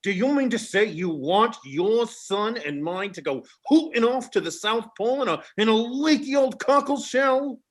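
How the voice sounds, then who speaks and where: Man acting a blustery old seafaring father, high-pitched. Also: animated, moderate pace, few pauses. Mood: indignant, fretting. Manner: exclamatory, gruff grumbling. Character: blustery old New England sea father, grumbling but proud. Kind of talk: old-time radio play acting.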